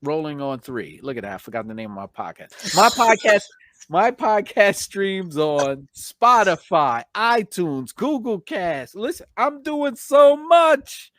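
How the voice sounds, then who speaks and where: A man hosting a casual live stream, chatting informally with slangy shout-outs to viewers, his voice slightly hoarse from long talking. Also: sits high, sing-song, unhurried, hardly any pauses, dry recording.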